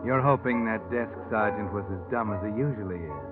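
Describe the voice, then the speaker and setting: An actor playing a sinister, all-knowing narrator in a vintage radio mystery, taunting in clipped phrases. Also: male voice, fairly low pitch, a little fast, over music, natural voice. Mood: ominous, tense. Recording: muffled vintage radio recording.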